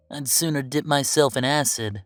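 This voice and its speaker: A woman's voice: a bass voice, no room sound, clean studio sound. A woman playing a bitter, defiant werewolf big brother estranged from the family, curt and confrontational, in an audio drama. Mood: scornful. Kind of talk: scripted audio roleplay drama.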